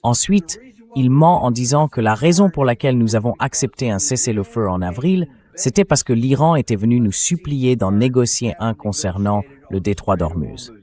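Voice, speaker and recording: A man's voice: medium pitch, expressive, moderate pace, mellow. A man delivering blunt, argumentative political commentary in a dubbed, conversational style.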